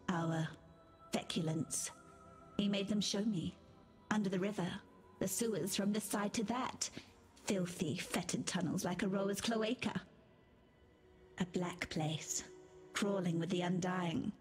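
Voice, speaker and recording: A woman's voice: mid-range voice, lightly acted. A woman playing a mad, bitter, undying queen in a video game, muttering and repeating herself theatrically. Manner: unhinged, repetitive, theatrical, muttering.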